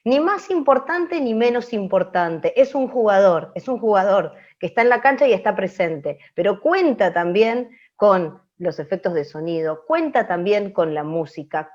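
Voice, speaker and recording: A woman's voice: medium pitch, a little mellow. An Argentine woman explaining in an open class, didactic, repeating phrases for emphasis. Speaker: radio language teacher. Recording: dull lo-fi audio.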